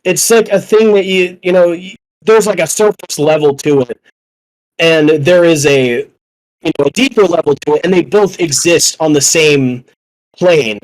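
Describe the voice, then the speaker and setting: A man's voice: on the high side, normal speed, poor audio. A young man casually discussing films on a podcast, rambling and opinionated, with plenty of swearing. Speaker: podcast panelist.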